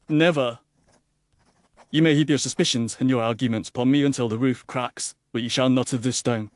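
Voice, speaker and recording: A man performs a young, obsessed villain of good family in a period mystery story. His middle-pitched voice is intense and bitter, with florid, impassioned phrasing. The sound is dry. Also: fairly even intonation, fairly quick, dry recording, natural voice. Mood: defiant. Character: fanatical, bitter young gentleman villain, unhinged.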